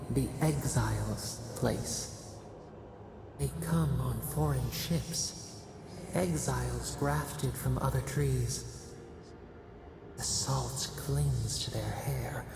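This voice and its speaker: An ancient game spirit broods in cryptic fragments, drawn out extremely slowly. The voice is heavily gravelled and creaky, solemn and otherworldly. It rings in a long, cavernous reverb over music. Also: mid-range voice, background noise, heavily acted. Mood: brooding, solemn. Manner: slow, halting, cryptic short phrases. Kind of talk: solemn video game narration.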